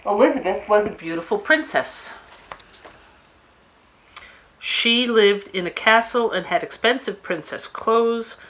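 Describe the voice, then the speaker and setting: A woman reads a children's story aloud as a storyteller. Her delivery is expressive and dramatic. Her mid-pitched voice ranges widely up and down. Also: unhurried, long pauses, low-quality recording.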